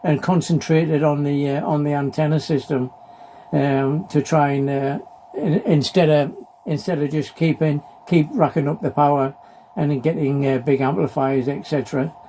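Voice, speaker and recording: A middle-aged or older man talking casually in an unscripted hobby vlog, halting and hesitant, often repeating his phrases. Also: on the high side, fairly even intonation, warm tone. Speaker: amateur radio hobbyist presenting a video. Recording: clearly noisy background.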